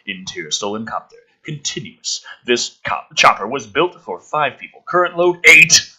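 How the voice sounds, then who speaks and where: A man's voice: mid-range voice, big pitch swings, moderate pace, bright, forceful tone, breathy edge, low-quality recording. A man hosting a channel, giving a sarcastic, theatrical script reading with exasperated, foul-mouthed commentary. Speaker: host of a gaming and film channel.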